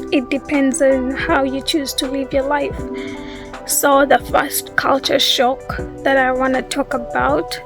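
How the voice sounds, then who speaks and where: Young female vlogger, Ugandan accent, high pitch, slow casual delivery over background music. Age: young adult. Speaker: YouTube vlogger. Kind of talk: casual talk to viewers.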